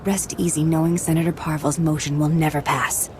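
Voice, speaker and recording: Lady playing a shady political schemer in a game, lowish voice, confident and businesslike, noisy background. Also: little pitch movement, a little fast, close to an everyday voice. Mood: satisfied, assured. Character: smooth, self-assured shady female political conspirator. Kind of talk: video game character acting.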